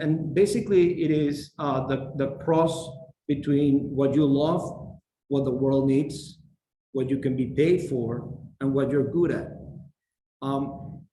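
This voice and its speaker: Middle-aged man, halting, roomy. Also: medium pitch, warm tone. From Venezuela. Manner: halting, many fillers and restarts. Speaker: program founder. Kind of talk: orientation talk on a video call. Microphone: video call. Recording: roomy echo, faint noise.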